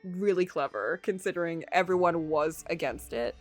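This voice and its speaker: A woman streamer reading a story game aloud, mixing expressive narration with casual asides. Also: fairly low pitch, normal speed, faint music behind.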